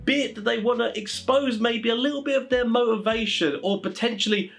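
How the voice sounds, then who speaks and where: A man hosting a casual explainer for tabletop game masters, talking in an animated, rambling, informal way. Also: extremely high pitch, a little fast, gravelly. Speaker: video host giving tabletop game master advice.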